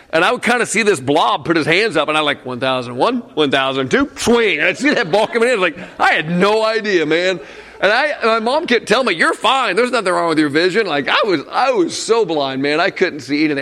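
A middle-aged man who was a youth pastor, telling a story to an audience in a casual, animated way, acting out quoted voices and sometimes dropping to a hushed aside. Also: fairly high pitch.

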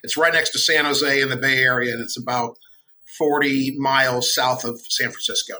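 Middle-aged american man, bright forceful voice, relaxed and chatty, faint noise behind. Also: medium pitch, moderate pace, low-quality recording. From United States. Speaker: podcast guest. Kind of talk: casual interview.

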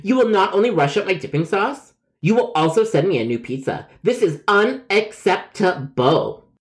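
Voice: mid-range voice, very animated, normal speed, close to an everyday voice. A man voicing a whiny, melodramatic male customer with a grievance in a comedic phone story. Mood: outraged. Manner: melodramatic, whining, yelling.

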